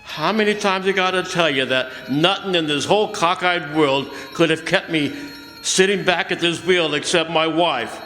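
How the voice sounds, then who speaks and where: A man's voice: on the high side, moderate pace, sharp tone, soft background music. A man playing a hot-tempered, bitter ambulance driver in an old-time radio drama, slangy, blustering and agitated. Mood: bitter, defensive. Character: hot-tempered, bitter working-class male ambulance driver, desperate husband. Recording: echoey hall stage recording, faint noise.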